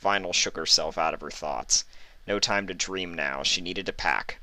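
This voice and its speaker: A man's voice: low-pitched, sharp tone, no room sound, poor audio. A man reading a scripted story aloud as its narrator.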